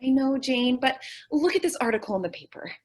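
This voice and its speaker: A woman's voice: high voice, animated, a little fast, a little mellow, husky. A woman playing a naive, scatterbrained and stubbornly optimistic young woman in an old-time radio comedy. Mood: eager.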